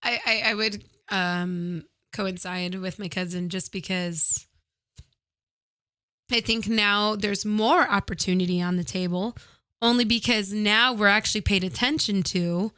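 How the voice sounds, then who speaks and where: A woman guest in casual podcast chat, a little tipsy, animated and swearing, laughing often. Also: mid-range voice, a little slow, clean tone, dry recording, clean studio sound. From United States.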